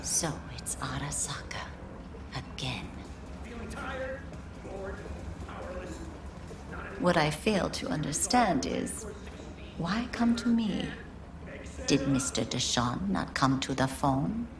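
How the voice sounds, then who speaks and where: A woman playing a shrewd older Japanese underworld fixer in a video game, sly and mock-courteous, with sardonic, deliberate delivery. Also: deep voice, lively intonation, a crowd in the background, full character voice. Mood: wary. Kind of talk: dramatic game dialogue.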